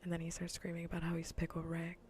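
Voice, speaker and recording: A woman streamer chatting playfully and casually in a soft, breathy, often near-whispered ASMR style, close to the microphone. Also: lowish voice, completely flat delivery, fast talker, slight background noise. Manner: playful, rambling, half-whispered casual talk. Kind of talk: soft-spoken ASMR gaming livestream chat.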